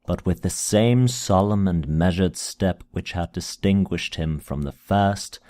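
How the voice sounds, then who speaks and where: A man narrating a gothic tale as a dramatic reading, in a solemn, measured manner with deliberate phrasing. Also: sits low, warm tone. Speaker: amateur audiobook narrator.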